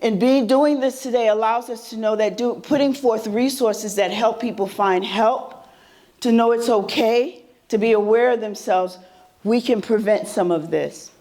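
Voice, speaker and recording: A woman with a soothing, slightly high voice gives a workshop talk. She speaks conversationally and keeps turning questions back to the room. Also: measured pace, warm tone. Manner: conversational, quizzes the audience with questions. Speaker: presenter at a mental health symposium. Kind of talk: interactive workshop presentation. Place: conference room before a live audience.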